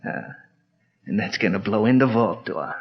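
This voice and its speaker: Dim, husky radio-drama thug; lively swoops in pitch, dull lo-fi sound. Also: male voice, moderate pace, heavily acted. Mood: uneasy. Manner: slangy tough-guy speech, hesitant. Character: dim, nervous male gangster henchman. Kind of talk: 1940s radio acting.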